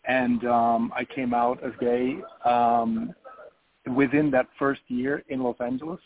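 A man from Belgium speaks as a radio guest, pausing often on ums as he feels his way. His voice sits in a middle range. The sound is thin and phone-like. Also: mid-range voice, flat delivery. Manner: hesitant, many ums. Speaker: filmmaker guest. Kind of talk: radio interview. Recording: phone-like narrow band.

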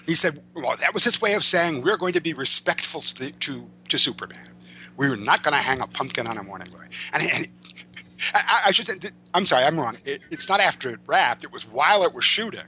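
A man's voice: a little high, expressive, fairly quick, sharp tone, slight background noise, poor audio. A man speaking as an interview guest, conversational and wordy, often stammering over repeated words and circling back to rephrase. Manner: articulate, wordy phrasing. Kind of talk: conversational storytelling and opinion about comics and film.

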